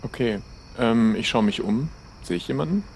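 A man's voice: mid-range voice, expressive, normal speed, creaky, darkish tone, a little hiss or hum. A man playing along in an improvised audio adventure, speaking casually in character and describing his own moves. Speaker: player in an adventure game.

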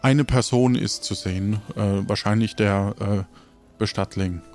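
A man's voice: on the low side, steady tone, a little slow, darkish tone, faint music behind. A man narrating an improvised audio adventure game as its game master.

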